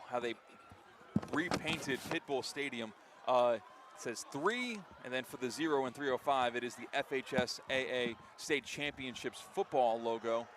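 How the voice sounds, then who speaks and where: A man doing live radio play-by-play of a football game, an excitable commentator who lifts his voice for big plays. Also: mid-range voice. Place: outdoor high school football stadium. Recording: faint outdoor stadium ambience noise. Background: faint outdoor ambience.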